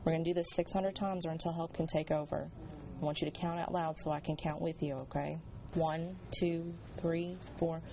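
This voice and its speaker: An American woman dispatcher speaks in a low, even voice with plenty of vocal fry. The sound is dull, with clear noise around her. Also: normal speed. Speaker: emergency medical dispatcher. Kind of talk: interview talk and calm phone instructions.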